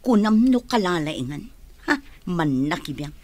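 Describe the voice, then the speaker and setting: A woman's voice: low voice, big pitch swings, unhurried, darkish tone, dry recording, full character voice. A woman acting in a radio drama as a scornful female accomplice who complains.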